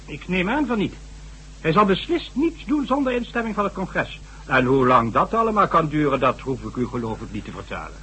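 A shrewd statesman speaks with smooth authority in an old radio play. His voice is bright and ringing, sitting a little high for a man, and swings lively up and down as he weighs risky plans. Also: a little fast, exaggerated voice. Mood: grave. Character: shrewd high-ranking male government official. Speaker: statesman addressed as excellency. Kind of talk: 1970s radio drama acting.

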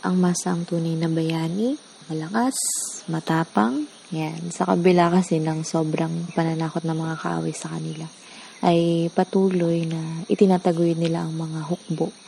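An earnest woman gives an emphatic testimonial. Her voice is dark with vocal fry, over faint outdoor insect noise. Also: low voice, fairly even intonation, moderate pace, poor audio. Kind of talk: spoken testimonial.

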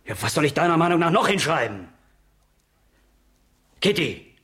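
An actor playing an insistent male schoolteacher with quiet, prodding authority in a radio drama. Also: a little high, sing-song, normal speed, slightly rough, heavily acted. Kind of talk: naturalistic radio drama acting.

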